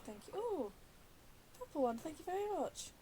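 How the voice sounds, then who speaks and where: High-voiced woman casually commentating on a game. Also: big pitch swings, moderate pace, mellow, slight background noise. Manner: giggly, self-mocking, muttering to herself. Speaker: gaming YouTuber. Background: game music.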